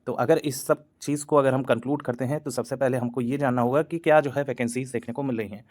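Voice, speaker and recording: A man's voice: medium pitch, fairly even intonation, fast talker, darkish tone. A man hosting an exam-news channel, talking in a loose explainer style with frequent hesitations and English words mixed in. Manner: repetitive, hesitant fillers, Hindi-English mix. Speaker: exam coaching channel host. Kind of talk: explainer talk to camera.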